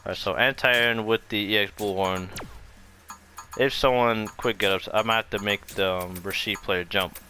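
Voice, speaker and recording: A man with a fairly low voice gives an unscripted fighting game tutorial. He explains in a casual, loose way and often repeats himself as he goes. Music plays clearly behind his voice. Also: fairly even intonation, normal speed, pushed and bright. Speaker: gaming video creator giving a tutorial.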